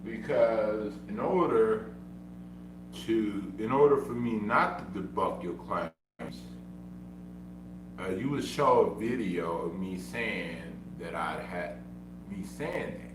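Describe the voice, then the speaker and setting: A man's voice: on the low side, slow pace. A man who stutters, streaming a casual monologue and repeating himself insistently. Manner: repetitive, insistent, self-defending. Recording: echoey room, far from mic, humming noise.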